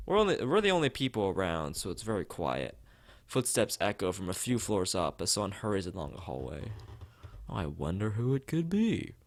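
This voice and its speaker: A man with a fairly low voice reads a visual novel aloud for a stream. His pitch moves around in a very animated way as he shifts between narration and dialogue. He sometimes stumbles over a word and carries on casually. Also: normal speed. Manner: stumbles over words while reading. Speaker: let's play streamer. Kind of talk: reading a visual novel aloud with character lines and casual asides.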